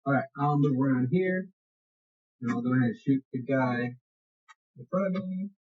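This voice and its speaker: A man with a medium-pitched voice takes part in a tabletop game as a player. He announces his moves in a casual way. The sound is somewhat dull. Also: normal speed.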